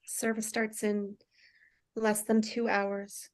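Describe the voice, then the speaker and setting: A woman's voice: mid-range voice, level tone, takes it slowly, close to an everyday voice. A woman reading the part of a wry wife and mother in a drama table read. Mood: gently concerned. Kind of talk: scripted drama read aloud.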